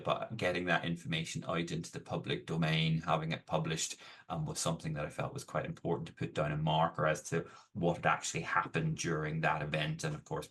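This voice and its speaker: A middle-aged man with a Northern Irish accent, his voice sitting very low. He speaks as an interview guest, explaining his work in a measured way and pausing on fillers. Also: fairly bright tone, husky. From Northern Ireland. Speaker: guest academic. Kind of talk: podcast interview.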